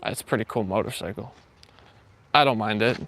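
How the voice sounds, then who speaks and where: A man's voice: on the low side, brisk, vocal fry, bright, forceful tone, slight background noise. A man hosting a live morning walk stream, chatting casually and rambling with lots of fillers as he answers his chat. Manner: rambling, filler-heavy, reads chat aloud. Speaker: livestream host. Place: outdoors, walking.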